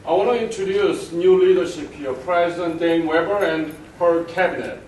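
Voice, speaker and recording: A man hosts a ceremony, making formal introductions with the odd repeated word. His voice sits somewhat high and comes from a distance, with the room's echo around it. A noisy hum runs behind him. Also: darkish tone. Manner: formal, slightly halting, with repeated words. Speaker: ceremony host. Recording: echoey hall recorded from far off.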